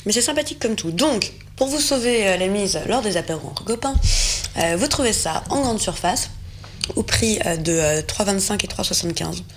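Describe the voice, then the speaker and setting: A woman's voice: medium pitch, animated, normal speed, a little hiss or hum. Chatty young Frenchwoman tasting food. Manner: chatty, enthusiastic, many hesitations. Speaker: co-host of a food segment. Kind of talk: casual tasting chat on a show set.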